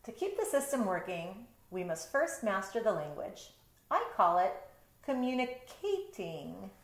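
A middle-aged woman reads a children's book aloud in a roomy bedroom. Her delivery is expressive and animated in pitch, breaking off for casual explanations to young listeners. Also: unhurried. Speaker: storytime reader, teacher. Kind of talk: picture-book read-aloud with casual asides. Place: bedroom, indoors.

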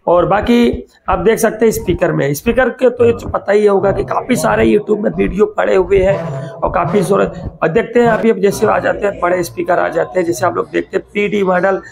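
A man's voice: high-pitched, normal speed, creaky, a crowd in the background. A man giving a casual, rambling product showcase as a vlogger, repeating himself and addressing viewers like friends.

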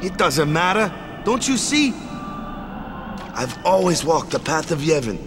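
A man's voice: a little high, big pitch swings, measured pace. A young man playing an earnest, guilt-ridden fighter, emotional and despairing, in a video game cutscene. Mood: bitter guilt. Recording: music behind the voice.

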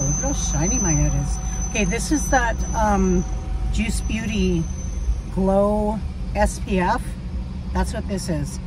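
A woman's voice: mid-range voice, slow. A middle-aged woman chatting casually to camera from her parked car, rambling and self-deprecating. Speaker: vlogger. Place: parked car, outdoors in sun. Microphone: phone camera on dashboard. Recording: distant mic with loud street noise.

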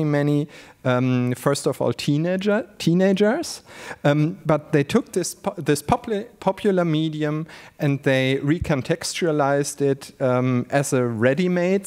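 A man's voice: on the high side, darkish tone. A middle-aged man speaking on a panel discussion, into a handheld mic on a stage, hesitant and full of fillers and restarts. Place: large stage in a hall.

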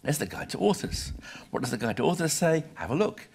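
A man's voice: fairly high pitch, very animated, brisk, gravelly. A British man in his sixties giving a live lecture to an audience in a conversational, emphatic way with short clipped phrases. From Britain. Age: 60s. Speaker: lecturer, journal publisher. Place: lecture hall, speaking from a podium. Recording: live talk with audible background noise.

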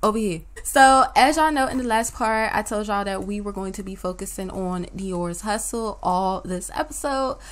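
A woman's voice: medium pitch, normal speed, few pauses. A woman hosting a life-sim let's-play, chatting casually and talking straight to her viewers in slangy banter, her voice a little worn as if she is losing it. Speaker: gaming channel host.

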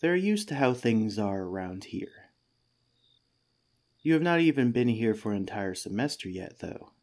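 A man playing a kindly, patient head teacher in a gentle, soothing ASMR comfort roleplay. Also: lowish voice, very animated, moderate pace. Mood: tender, comforting.

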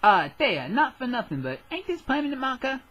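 A man's voice: very high voice, sing-song, a little fast, pushed and bright, husky, heavily acted. A man voicing a brash, slangy teenage schoolboy with a Brooklyn accent in a parody anime dub.

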